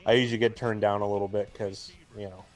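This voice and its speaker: A young man with a booming voice, co-hosting a live football watch stream in rambling casual chat, mic clipped to his jacket. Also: little pitch movement, fast. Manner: rambling, talkative, full of restarts and fillers. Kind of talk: casual chat and game commentary. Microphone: jacket used as mic mount.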